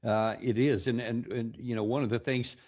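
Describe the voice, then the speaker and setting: A man's voice: mid-range voice, fairly even intonation, normal speed, a little mellow. A man talking as host of a political interview, on a faint original track. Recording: clearly noisy, faint distant original track.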